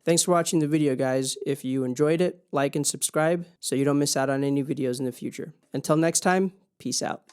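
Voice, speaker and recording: A man's voice: a little high, brisk, few pauses, darkish tone, clean tone. A man hosting a casual hands-on explainer video, speaking in an informal, plain-spoken way. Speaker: video host.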